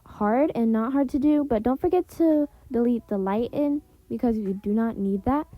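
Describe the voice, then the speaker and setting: A woman's voice: on the high side, phone-quality sound. A woman giving a casual, chatty step-by-step art tutorial voiceover, speaking directly to her viewers. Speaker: tutorial host, content creator. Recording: soft music behind the voice. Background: background music.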